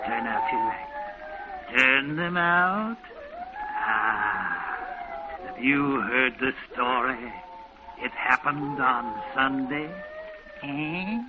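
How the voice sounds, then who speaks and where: An actor playing a sinister old hermit who tells ghost stories with theatrical menace in a 1940s radio horror show. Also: male voice, medium pitch, wildly swooping pitch, extremely slow, pauses often, heavily acted. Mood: gleefully menacing. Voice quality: cackling. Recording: noisy, tinny 1940s radio recording.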